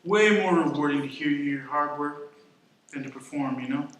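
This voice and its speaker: Off mic in a very echoey room, a man plays an awkward young sound guy. His voice is fairly high and hesitant, in amateur radio drama style over faint noise. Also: normal speed, a bit bright, recorded from a distance, natural voice. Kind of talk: amateur radio play acting.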